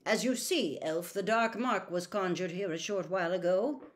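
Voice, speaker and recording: A woman's voice: fairly low pitch, moderate pace, close to an everyday voice. Woman as a blustering, barking male wizard official. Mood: stern, accusing. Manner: barking, interrogating, stern.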